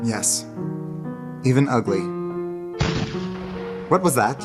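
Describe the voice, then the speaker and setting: An actress in a radio play as an ardent, earnest lover with a husky voice and a fervent, poetic delivery. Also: female voice, very deep voice, animated, slow pace, full character voice. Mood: uneasy, questioning. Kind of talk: radio theater acting. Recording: music under the dialogue.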